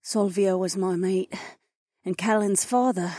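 A man voicing a frail, ancient female dragon, a dying and tender mother, in a weak, breathy, murmuring voice for an audiobook. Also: high-pitched, a little slow, darkish tone, no echo, studio quality, pitched above the performer's own voice. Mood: tender, fading. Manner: weary, murmuring.

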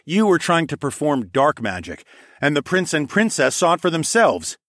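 A man's voice: on the high side, big pitch swings, normal speed, fairly bright tone, dry recording, studio quality, voice pushed up. A man voicing a confident, smirking lord who is the hero of a fantasy audiobook. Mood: smug, assertive.

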